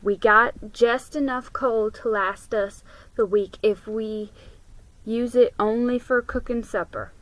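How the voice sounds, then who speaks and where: Lady reading the part of a gentle storybook mama, folksy, takes her time. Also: a little high, fairly even intonation, slow, no room sound, poor audio. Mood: gentle, instructing. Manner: gentle, homely folksy phrasing. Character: gentle, hardworking mother in 1860s storybook.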